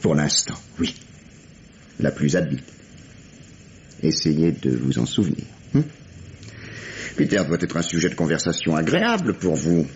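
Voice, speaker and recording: A shrewd, sardonic detective in an old French radio mystery. His voice is low and swoops freely as he needles the suspect with condescending irony. The sound is dull and vintage. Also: big pitch swings, moderate pace, pauses often, darkish tone, slight background noise. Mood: sly, ironic.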